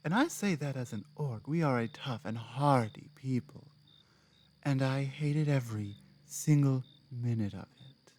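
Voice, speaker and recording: Woman acting a slow, low-voiced orc woman. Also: animated, a little mellow, exaggerated voice. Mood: earnest, bitter. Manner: deliberate, halting phrases with weighty pauses. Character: strong, proud, earnest female orc companion, guarded about her past. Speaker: audio roleplay performer. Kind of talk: ASMR dramatic roleplay, intimate monologue to listener.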